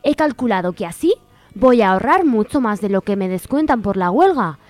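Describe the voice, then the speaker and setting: A woman's voice: mid-range voice, expressive, a little fast, darkish tone, no room sound, soft background music, lightly acted. A woman playing a naive, indignant posh young woman in a parody advice letter, with affected, melodramatic talk. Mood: snobbish, dismayed.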